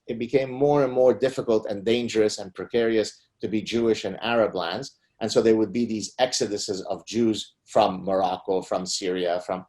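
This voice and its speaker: A middle-aged man from Lebanon whose first language is Arabic, speaking emphatically as a guest in an interview. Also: a little mellow. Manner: emphatic, rising pitch on key points. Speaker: guest author. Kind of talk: conversational interview.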